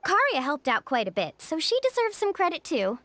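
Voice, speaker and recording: A woman's voice: sits high, huge pitch swings, fairly quick, a bit bright, exaggerated voice. A woman playing an upbeat, caring young tour guide in a video game, with a reassuring, confident manner. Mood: cheerful.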